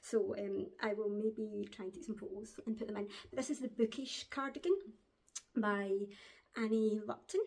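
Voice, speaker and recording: Glaswegian woman host, casual and hesitant, mid-pitched, laughs easily between thoughts. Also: normal speed, a little mellow. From Glasgow, Scotland. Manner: chatty, hesitant with frequent ums, laughs often. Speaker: crafting podcast host. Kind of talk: casual chat to camera. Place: at home, seated with a dog on her lap.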